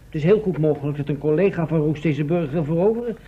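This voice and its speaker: An actor playing a sceptical, wordy, gloomy captive in a radio drama. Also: male voice, a little high, quick pace, slightly rough. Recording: tinny narrow old radio recording.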